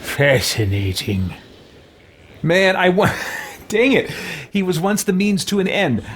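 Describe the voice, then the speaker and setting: A man's voice: sits high, measured pace, a little hiss or hum. A man in his thirties giving casual gameplay commentary, thinking aloud as he plays. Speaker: gaming video host. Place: book-lined room at home.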